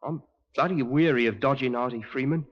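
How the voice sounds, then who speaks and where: An actor playing a desperate criminal with rough slangy working-class speech in a 1950s radio drama. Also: male voice, medium pitch, a little fast, close to an everyday voice. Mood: frightened, pleading. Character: desperate, violent London criminals plotting a robbery. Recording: muffled old radio recording, long reverb.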